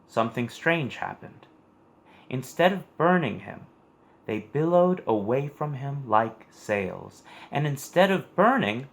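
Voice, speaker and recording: A man reading a scripted story aloud for children in an expressive storytelling manner. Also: medium pitch.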